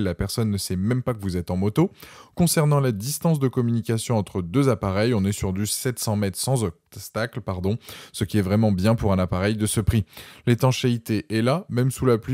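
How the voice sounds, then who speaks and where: A man's voice: lowish voice, mellow, dry recording, studio quality. A man presenting a scripted product review voice-over, informally addressing viewers directly.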